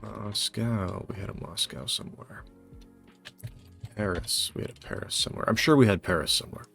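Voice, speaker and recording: A man casually thinking aloud as he hosts a guessing game video, halting and muttering to himself. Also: lowish voice, gravelly, a bit bright, soft background music. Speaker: host of a geography guessing challenge video.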